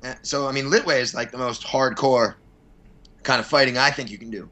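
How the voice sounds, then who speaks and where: Guy on a podcast as the guest, punchy bright voice, rambly casual chat, blunt opinions, bit sweary. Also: medium pitch, fairly even intonation, brisk. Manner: blunt, opinionated, mildly profane. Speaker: podcast guest, fight promoter.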